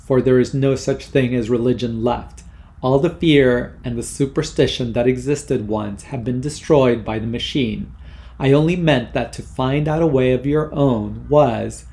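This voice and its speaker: A man's voice: mid-range voice, vocal fry, a little mellow. A man reading a prim, haughty mother. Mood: indignant. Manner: fussy, indignant, superior. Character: prim haughty middle-aged mother, machine-devoted.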